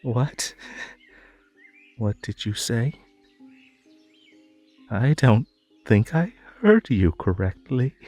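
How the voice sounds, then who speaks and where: A man's voice: mid-range voice, big pitch swings, very slow, pauses often, darkish tone, faint music behind, heavily acted. A man voicing a possessive, unhinged hare host in a theatrical roleplay audio drama, giggly and stammering, swinging from gushing to menacing. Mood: cold, offended.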